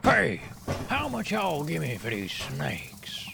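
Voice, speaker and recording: A scruffy, brazen chancer in an audio comedy. His drawl is extremely gravelly and very slow, with clear noise behind it. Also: a little high, huge pitch swings, exaggerated voice. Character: scruffy, foul-mouthed chancer hawking wild snakes. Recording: noisy background ambience.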